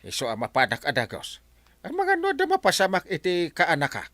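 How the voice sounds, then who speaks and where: A man's voice: high-pitched, huge pitch swings, a little fast, pushed and bright, no room sound, full character voice. A Filipino man acting a fretful, insistent middle-aged village captain in an audio drama. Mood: alarmed.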